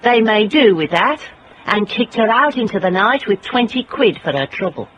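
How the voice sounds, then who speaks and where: A woman's voice: mid-range voice, animated, normal speed, lo-fi sound, a little hiss or hum, close to an everyday voice. An actress playing a shrewd female private investigator in a radio drama, speaking and narrating in a wry, controlled, pointed way. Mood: wry, reflective. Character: shrewd, steely female private investigator, quietly morally indignant. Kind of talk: radio drama acting and first-person narration.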